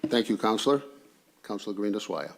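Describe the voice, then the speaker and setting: A male committee chair with a low, gravelly voice gives brief procedural prompts. There is faint noise behind him. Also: expressive, fast talker. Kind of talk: brief procedural meeting remarks. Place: indoor public council meeting room.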